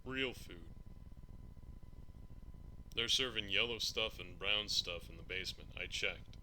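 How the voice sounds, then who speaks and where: Man voicing a bright, friendly college student. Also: on the low side, slow, heavily acted, poor audio. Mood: coaxing. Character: friendly, insistent male student in bedtime story. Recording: fan hum behind voice.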